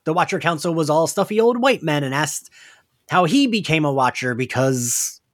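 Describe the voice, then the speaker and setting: A man's voice: a little high, animated, normal speed, a bit bright. A man co-hosting a podcast, recapping and discussing a story in an informal, joking way. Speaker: podcast co-host.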